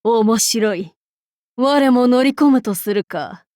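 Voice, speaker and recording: A woman's voice: a little high, slow pace, a little mellow, no echo, clean studio sound. A woman voicing a haughty female demon-king warlord in a video game, speaking in an archaic, lordly and commanding way with amused authority. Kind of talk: Japanese game character voice lines.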